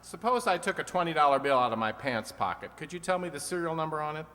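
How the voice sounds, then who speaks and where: Man playing a bright, wisecracking radio quiz host. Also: a little high, very animated, fast talker. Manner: dry wisecracking, teasing questions. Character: wisecracking male quiz show host, sly and teasing. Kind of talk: comic quiz show banter, old-time radio re-enactment. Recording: long hall reverb.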